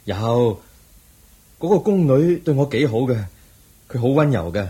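Husky, brooding young prince. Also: male voice, medium pitch, expressive, unhurried, dark tone. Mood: earnest. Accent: Hong Kong Cantonese. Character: young orphaned prince disguised as palace cook, brooding. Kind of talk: Cantonese radio drama acting.

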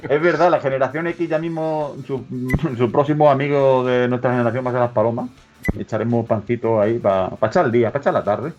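A man's voice: medium pitch, a little fast, a little mellow, soft background music. Rambling joking man on lo-fi radio. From Spain. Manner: joking, rambling, reads out searches. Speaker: radio show host. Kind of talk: casual comedic radio chat.

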